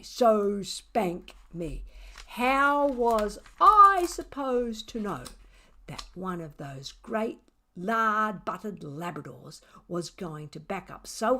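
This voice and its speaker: A woman voicing a mischievous, sarcastic, self-satisfied male cat who tells his story theatrically, with dramatic pauses. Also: mid-range voice, pushed and bright, full character voice. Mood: defensive, dramatic.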